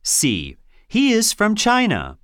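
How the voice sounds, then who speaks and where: A woman reading scripted lines and answer options for an English listening test, slow and carefully enunciated. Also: mid-range voice, huge pitch swings, smooth, dry recording, studio quality.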